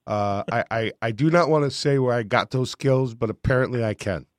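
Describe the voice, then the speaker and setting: A man from New York state hosting a live radio show, with a raspy voice and a cold, talking in a boastful, joking way. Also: medium pitch, a little fast, no room sound, clean studio sound. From New York state, USA. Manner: boisterous, boastful and jokey. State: has a cold. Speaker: radio host.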